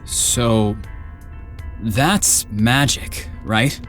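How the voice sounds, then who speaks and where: Man acting a very slow, curious newcomer. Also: mid-range voice, a bit bright. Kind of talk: dramatised fantasy audiobook acting. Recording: faint music under the voice.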